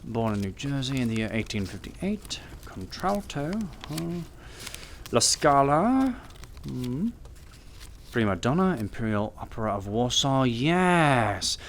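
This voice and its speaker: A man voicing an aloof, analytical gentleman detective, musing and clipped, with nonchalant hesitations, for an audiobook. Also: mid-range voice, very animated, slow, slight background noise.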